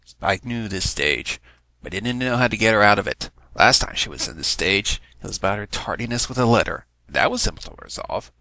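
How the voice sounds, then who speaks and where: A man's voice: fairly low pitch, animated, a little fast, gravelly, sharp tone, no room sound. A man reading fan fiction aloud as a scripted story narration.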